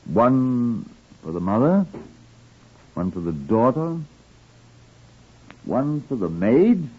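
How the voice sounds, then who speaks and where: An actor playing a shrewd, courteous police superintendent who puts clipped, probing questions in an old radio drama. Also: male voice, medium pitch, very animated, takes it slowly, husky, exaggerated voice. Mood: probing, brisk. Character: shrewd, courteous male Scotland Yard chief superintendent. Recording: muffled, band-limited vintage radio recording.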